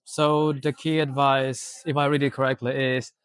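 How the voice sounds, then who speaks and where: A man's voice: medium pitch, level tone, normal speed, a bit bright, clear, resonant tone, dry recording, clean studio sound. A man hosting a casual podcast interview, speaking briefly and agreeably. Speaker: podcast host.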